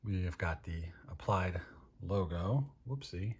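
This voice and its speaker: An American man from Maryland with a husky voice, casually reviewing products as host in a dry, unhurried manner. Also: deep voice, lively intonation. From Maryland, United States. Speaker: host of a watch review show.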